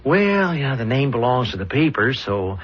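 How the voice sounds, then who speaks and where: A man's voice: mid-range voice, very animated, normal speed. An actor playing a chatty, eager male newspaper columnist in a 1970s radio drama, folksy and a little hesitant, on a dull old recording. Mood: eager, proud.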